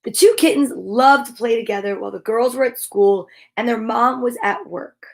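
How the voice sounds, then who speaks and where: A woman's voice: a little high, moderate pace, gravelly. A woman hosting a live children's story time, reading aloud and chatting to viewers in an upbeat, gushing, encouraging way.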